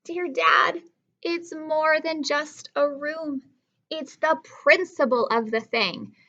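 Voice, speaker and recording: Casting: woman as persuasive young son in a storybook, self-important and emphatic, unhurried, high voice. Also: bright, forceful tone, lo-fi sound, exaggerated voice. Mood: indignant. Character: persuasive, self-important school-age boy writing to his dad. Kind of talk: storybook read-aloud.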